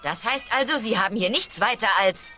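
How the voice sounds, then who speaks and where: A woman's voice: on the high side, brisk, bright, forceful tone, soft background music. A woman playing a practical, motherly, mildly exasperated dinosaur mother in a children's audio play. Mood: patient, practical. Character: sensible, patient dinosaur mum, mildly exasperated. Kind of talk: children's audio drama acting.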